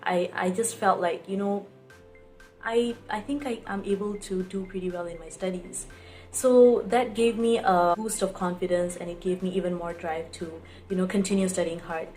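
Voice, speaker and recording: A young woman with a Singaporean accent shares her own story in a casual vlog style. Her voice sits a little low for a woman. She is earnest and often repeats words for emphasis, over faint background music. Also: fairly even intonation, moderate pace, darkish tone. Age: young adult. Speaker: student vlogger. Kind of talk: casual personal storytelling to camera.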